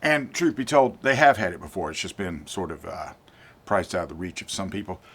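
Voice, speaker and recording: Older man, low voice, channel host, informal product review, deliberate and emphatic delivery. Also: a bit bright, husky. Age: 50s or older. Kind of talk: informal gear review to camera.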